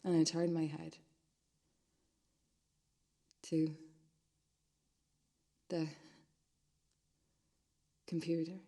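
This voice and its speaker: Radio drama monologue: actress as a transfixed young woman, slightly low voice, conversational, halting and repetitive. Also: level tone, dark tone, exaggerated voice. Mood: numb, detached.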